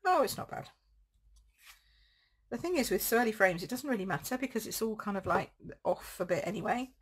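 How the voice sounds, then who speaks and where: A woman with a croaky throat, chatting casually as she demonstrates card making at home. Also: medium pitch, expressive, a little fast. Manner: chatty, rambling, thinks aloud while crafting. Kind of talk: casual live craft demo.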